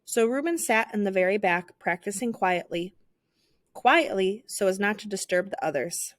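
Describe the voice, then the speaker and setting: A woman narrating a children's picture book aloud in an expressive, theatrical storytelling style. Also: medium pitch, moderate pace, dry recording, clean studio sound.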